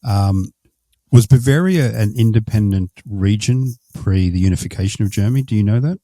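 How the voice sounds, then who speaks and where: A man with a very deep, mellow voice hosts an interview. He asks long, meandering questions, full of restarts and fillers. The sound is dry and close. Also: dry recording. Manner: rambling, halting, many fillers. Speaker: host.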